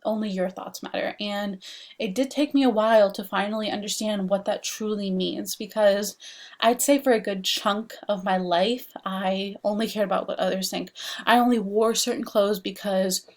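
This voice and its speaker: A young woman from Washington DC talks through a class assignment into her laptop webcam at home. She keeps it loose and chatty, leaning on "like" and "um" as she goes, at a middling pitch. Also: fairly even intonation, moderate pace. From Washington DC, United States. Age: young adult. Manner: chatty, frequent "like" and "um" fillers. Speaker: student presenting an assignment. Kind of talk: casual recorded class presentation. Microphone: laptop webcam mic.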